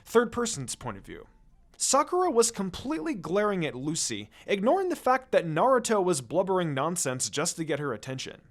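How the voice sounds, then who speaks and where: A man's voice: high-pitched, wildly swooping pitch, a little fast. A man narrating a scripted story expressively, reading fan fiction aloud. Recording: music under the voice. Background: music.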